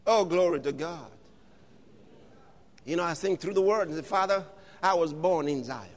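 A man preaching an impassioned sermon, shouting lines and stretching out words for emphasis. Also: fairly high pitch, moderate pace, big-room sound.

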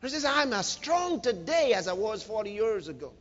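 This is a man preaching in a high, very animated voice that swings widely in pitch. He is dramatic and emphatic, repeating key phrases and putting questions to his congregation. The sound is slightly muffled, with the long reverb of a big church hall. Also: moderate pace. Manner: engages the congregation with questions and humour. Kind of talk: animated sermon with scripture reading.

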